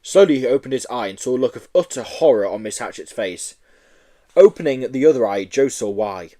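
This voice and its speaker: A man reads a children's story as an audiobook. His voice sits at an average pitch and moves up and down a great deal, making the narration animated and expressive. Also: a little fast. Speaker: audiobook reader.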